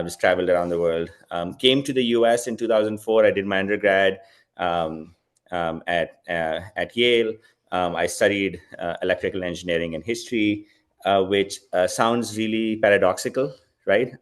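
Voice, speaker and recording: A man from Pakistan in his thirties, a company founder speaking as guest in a fireside chat, relaxed and reflective with many fillers. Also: sits low.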